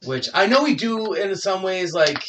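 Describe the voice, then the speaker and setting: A man's voice: sits high, expressive, normal speed, background noise. A man co-hosting a podcast, rambling through casual opinions, swearing freely and asking his guests questions. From United States. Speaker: podcast co-host. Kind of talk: casual chat.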